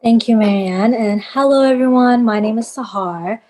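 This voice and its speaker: A woman's voice: on the high side, moderate pace, a little mellow. A woman in her twenties, from Canada, introducing herself on a video call panel in a casual, lightly self-deprecating way.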